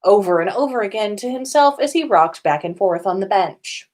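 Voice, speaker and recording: A woman's voice: mid-range voice, lively intonation, normal speed, a little mellow. A woman podcast host reading fan fiction aloud in an informal storytelling style.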